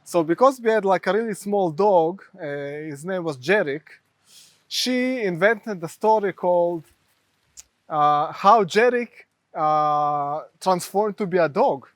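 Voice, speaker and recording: A man from Ukraine with a Ukrainian accent, a therapist telling stories from his life as a podcast guest, halting with many fillers. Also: high-pitched, animated, dry recording. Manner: halting, many fillers, non-native phrasing. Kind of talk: storytelling in conversation.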